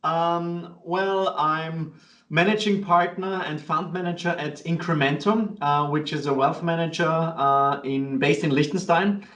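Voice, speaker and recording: A man from Vienna with an Austrian accent, speaking as a guest in a casual interview and often pausing with fillers and restarts. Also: fairly high pitch, fairly even intonation, fairly bright tone, reverberant, recorded from a distance. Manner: hesitant, many fillers and restarts, laughs easily. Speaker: guest, fund manager.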